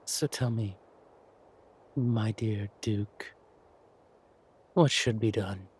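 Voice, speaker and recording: Man playing a slow-speaking, menacing villain king. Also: on the low side, slight background noise. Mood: threatening. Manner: slow deliberate taunting, drawn-out pauses. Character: menacing, possessive villain king, silkily mocking. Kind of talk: audio roleplay villain acting.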